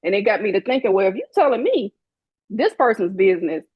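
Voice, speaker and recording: A woman's voice: medium pitch, sing-song, fast talker, breathy edge, no echo, muffled recording. A woman in her forties, a guest in an interview, speaking candidly in a sassy, emphatic way and acting out the people she quotes. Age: 40s.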